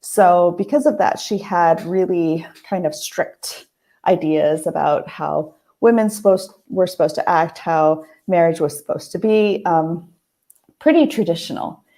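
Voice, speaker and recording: Hoarse lady chatting at home at night, kinda low mellow voice, lots of ums. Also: a little slow. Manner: thoughtful, with many ums and restarts. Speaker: host. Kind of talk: casual heart-to-heart monologue. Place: family house, at night.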